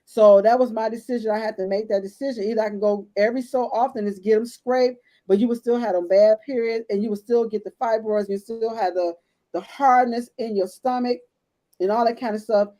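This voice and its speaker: A woman in her late fifties tells her own story with blunt candor and talks straight to the women listening. Her voice sits a little high but sounds mellow and relaxed. It is easygoing, personal chat. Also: a little fast. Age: 50s. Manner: candid, storytelling, addresses listeners as ladies. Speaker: podcast co-host. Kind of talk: casual health chat.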